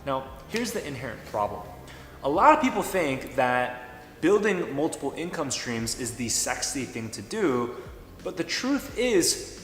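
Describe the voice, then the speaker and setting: A man's voice: a little high, fairly bright tone, a little hiss or hum. A man giving an emphatic motivational talk about business, spelling out slogans. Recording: echoey room with long reverb.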